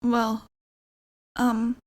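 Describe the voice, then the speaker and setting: A woman playing an awkward, nervous young vampire roommate in an ASMR roleplay, halting and rambling apologetically. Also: a little high, completely flat delivery. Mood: bemused, calmer.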